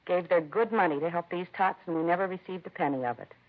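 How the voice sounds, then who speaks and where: A woman's voice: on the low side, fast, lightly acted. An actress playing an earnest, indignant woman who runs a children's home in a 1950s radio drama, plain-spoken and heartfelt. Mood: earnest, concerned. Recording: dull vintage radio recording.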